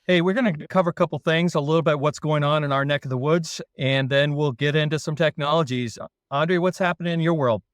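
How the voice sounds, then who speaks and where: A man's voice: fairly high pitch, fairly quick, clear, resonant tone, dry recording. A man with a Canadian accent, a dairy consultant leading a casual podcast chat with self-deprecating jokes. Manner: self-deprecating, jokey, relaxed.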